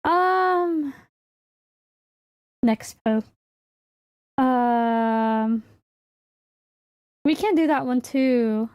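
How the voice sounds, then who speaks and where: High-pitched woman streamer, unhurried and rambling. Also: a little mellow, smooth, no echo, clean studio sound. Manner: hesitant, rambling, thinking aloud. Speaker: livestreamer and VTuber host. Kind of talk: casual livestream chat.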